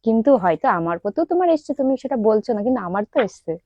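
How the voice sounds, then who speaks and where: A woman's voice: fairly high pitch, very animated, rapid-fire, dark tone, no room sound, lo-fi sound. A young adult woman in a casual, teasing prank-call chat, pressing on persistently as the host and caller. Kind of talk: casual prank phone chat with asides to viewers.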